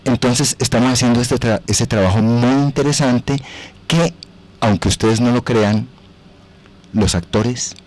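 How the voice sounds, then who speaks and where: A man with a Colombian accent speaking as a radio guest, explaining deliberately and emphatically with rhetorical questions. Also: mid-range voice, darkish tone, a little hiss or hum. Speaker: guest, radio and theatre actor. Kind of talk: radio interview, animated explaining.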